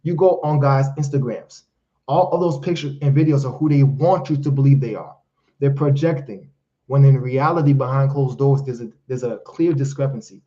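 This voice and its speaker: A man's voice: mid-range voice, normal speed, dark tone, dull audio. A man whose first language is Haitian Creole, hosting a live Q&A as a life coach, giving emphatic, preachy advice and calling listeners fellas and brother. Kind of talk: live-stream Q&A, motivational advice.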